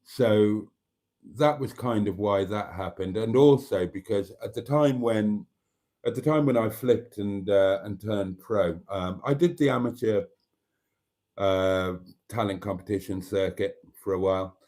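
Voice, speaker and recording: A man's voice: fairly low pitch, a little slow, darkish tone. A Welsh man, a native Welsh speaker, hosting a live stream and musing in a meandering way, with many fillers and restarts. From Wales. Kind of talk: casual live stream chat.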